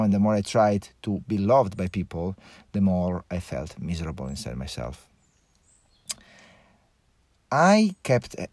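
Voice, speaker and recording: A man from Italy with an Italian accent, hosting a podcast as a personal monologue in reflective, halting phrases with repeated restarts. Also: low voice, darkish tone, no room sound. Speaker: podcast host.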